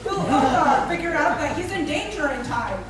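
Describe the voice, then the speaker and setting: A woman's voice: high voice, expressive, normal speed. A woman playing a mock-suspenseful narrator of a live radio serial. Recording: echoey hall, distant mic, audience heard.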